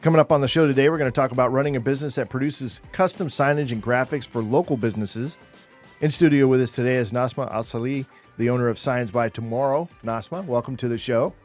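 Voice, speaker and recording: A man's voice: mid-range voice, fast talker, darkish tone, faint music behind. A man hosting a business podcast in a studio, upbeat and conversational, with fillers like "it's like". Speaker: podcast host. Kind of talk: casual interview hosting.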